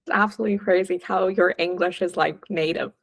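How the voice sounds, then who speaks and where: A woman's voice: on the low side, fairly even intonation, a little fast, no room sound. A woman hosting a podcast, asking questions in a casual, chatty way with lots of fillers. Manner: chatty, eager, many fillers like "like" and "um". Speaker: podcast host. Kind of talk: casual interview chat.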